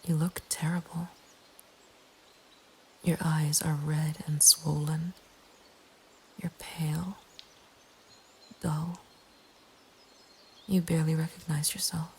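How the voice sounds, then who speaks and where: A woman narrating a scary story in a breathy, hushed ASMR whisper, slow and deliberate. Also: low voice, little pitch movement, a little hiss or hum. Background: nature ambience.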